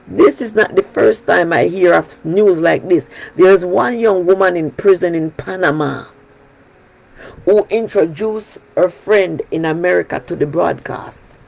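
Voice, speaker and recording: A Jamaican woman pastor preaches with an unhurried, deliberate delivery. Her voice carries a gravelly edge, and she drives her points home by repeating key phrases with emphasis. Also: on the low side, a little mellow, rough recording. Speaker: pastor leading a prayer broadcast. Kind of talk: sermon.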